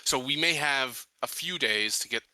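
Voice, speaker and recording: A man's voice: medium pitch, normal speed, bright, forceful tone, dry recording, rough recording. A man in a casual roleplaying game discussion, matter-of-fact and checking for agreement. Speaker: tabletop roleplaying game participant.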